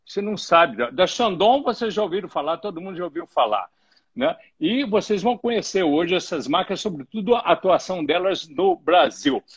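Man, middle-aged, joking host, stumbles over words, a bit high, muffled live stream. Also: lively intonation, a little fast, husky. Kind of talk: live interview. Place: home room, indoors.

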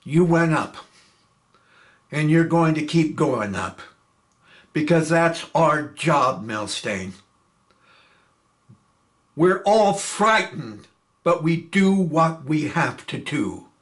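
A man's voice: a little high, big pitch swings, takes it slowly, long pauses. A man playing a stern squadron chief. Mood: steady. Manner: firm, commanding. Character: stern male squadron chief, firm leader.

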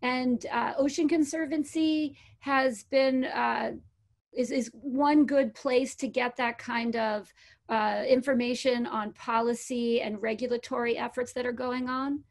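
Higher-voiced woman in her forties, explaining. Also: fairly even intonation, a little slow. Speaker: panelist. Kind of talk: informal explanatory panel talk. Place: indoors on a video call.

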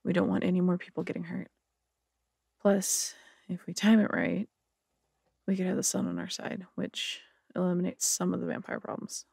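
A serious female police detective played by an actress in an audio drama. Her voice is heavy with vocal fry and husky, delivered in a businesslike way. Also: on the low side, level tone, moderate pace, a little mellow, no room sound, clean studio sound, exaggerated voice.

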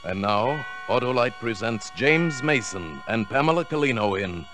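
A man's voice: medium pitch, animated, moderate pace. A man announcing a radio suspense show in a formal, dramatic 1940s style. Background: music.

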